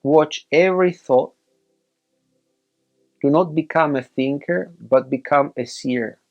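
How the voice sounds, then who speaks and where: A man leading a guided meditation as a teacher, giving measured instructions in short, separated phrases. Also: fairly high pitch, gravelly, muffled recording, soft background music.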